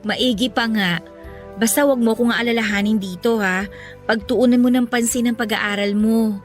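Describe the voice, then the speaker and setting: A woman playing a caring, level-headed young girlfriend in a Filipino radio romance, gentle and reassuring. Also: fairly high pitch, steady tone, moderate pace, soft background music, lightly acted. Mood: caring, fond. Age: young adult. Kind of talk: Tagalog radio romance drama acting.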